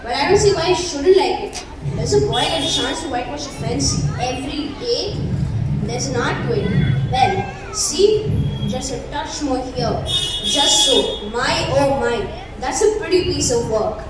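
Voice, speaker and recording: A woman's voice: sits high, animated, measured pace. A young girl playing a mischievous, wheedling schoolboy with a folksy, persuasive way of talking in a school play. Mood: smug, persuasive. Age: child or young teen. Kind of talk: children's school stage play. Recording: echoey hall from far off, crowd, noise.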